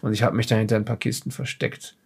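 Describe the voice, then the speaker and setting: A man playing a haughty, evasive witness under police questioning in an audio drama, halting and correcting himself as he talks. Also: lowish voice, little pitch movement, slightly rough. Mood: evasive, guarded. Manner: hesitant, halting, indignant, with self-corrections. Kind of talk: improvised in-character audio drama dialogue.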